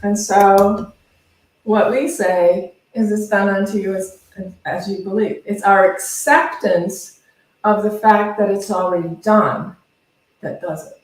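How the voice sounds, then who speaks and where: Woman minister, unhurried and conversational spiritual talk, recorded from a distance in a very reverberant space. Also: mid-range voice, steady tone, a little hiss or hum. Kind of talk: informal teaching talk to a congregation. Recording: very echoey room, distant microphone.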